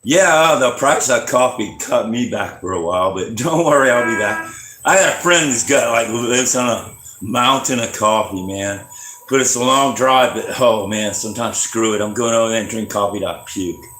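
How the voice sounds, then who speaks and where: A man's voice: mid-range voice, normal speed, a bit bright, echoey room. An older man chatting casually and rambling with dry humor as he hosts a live music stream. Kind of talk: casual chat between songs.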